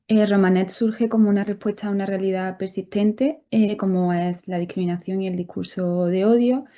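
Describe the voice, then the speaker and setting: A woman's voice: mid-range voice, dark tone. A woman presenting her nonprofit's project at an online event, earnest and hesitating often.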